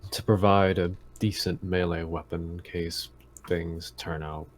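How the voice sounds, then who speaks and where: A man playing a tabletop game, chatting casually in a halting, hesitant way that often trails off. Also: deep voice, steady tone.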